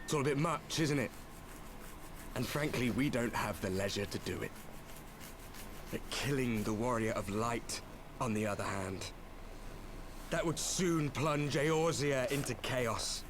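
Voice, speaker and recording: A man playing a coolly menacing video game villain, taunting and theatrical in a measured way. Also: medium pitch, noisy background, close to an everyday voice. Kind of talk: video game cutscene acting.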